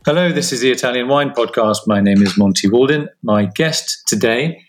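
A man hosting a podcast, asking easygoing questions in a relaxed, chatty interview manner. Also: medium pitch, moderate pace, soft background music. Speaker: podcast host.

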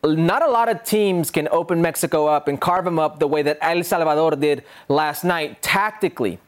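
A man's voice: a little high, moderate pace. A man co-hosting a sports talk show, a former forward, explaining with assurance. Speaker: podcast co-host, former forward.

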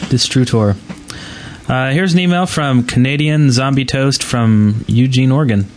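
Male podcast host, medium pitch, casual gaming-show chat. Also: unhurried.

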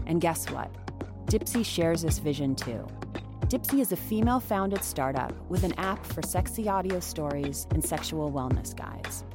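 A woman, a show's creator, reading a scripted sponsor message. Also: lowish voice. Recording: music behind the voice. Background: music.

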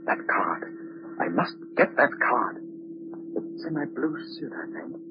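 An American actor playing a troubled, obsessive husband slipping into paranoia, abrupt and intense, in an old-time radio thriller. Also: male voice, medium pitch, very animated, takes it slowly. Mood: brooding, doubtful. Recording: muffled, noisy vintage radio recording.

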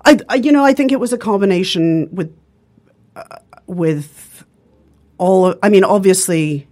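A woman's voice: medium pitch, moderate pace, a little mellow. A middle-aged woman with a faint English accent, a writer talking candidly as an interview guest, wry and laughing often. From United States.

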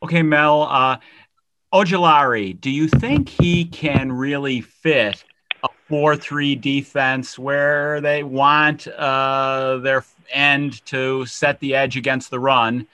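Bright-voiced, hesitant male reporter. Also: medium pitch, fairly even intonation, slow, slight background noise. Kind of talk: asking questions on a press conference call.

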